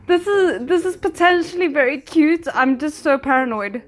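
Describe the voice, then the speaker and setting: Chatty woman reacting out loud. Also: high voice. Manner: chatty, animated, quick to react aloud. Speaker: reaction video host. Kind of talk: casual commentary while watching a series.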